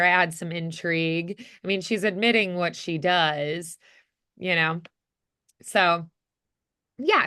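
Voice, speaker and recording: Woman, acting coach, bright forceful tone, smooth clear voice, casual, animated, encouraging. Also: on the low side. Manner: animated, encouraging, acts out examples.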